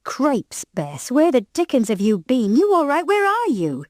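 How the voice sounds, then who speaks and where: A worried, flustered father firing exclamations, pitched high above the narrating voice, as a woman puts him on. The sound is dry. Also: wildly swooping pitch, a little slow, darkish tone, no room sound, full character voice.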